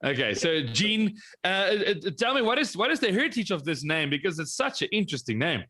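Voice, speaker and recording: Bright-voiced male event host. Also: sits high, big pitch swings, a little fast. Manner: upbeat, thanking and introducing speakers. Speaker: competition host. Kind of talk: hosting a presentation event.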